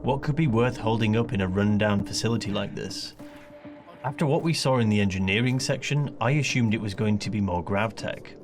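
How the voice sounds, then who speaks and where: A man's voice: lowish voice, a little fast. A man who creates gaming videos, speaking as narrator and player with casual gamer slang. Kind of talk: scripted gameplay narration mixed with live in-game chatter. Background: music.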